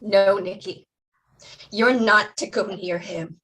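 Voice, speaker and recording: A woman playing an anguished, protective mother with halting, emotional delivery in a staged play reading. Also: mid-range voice, a bit bright. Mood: stern, wounded.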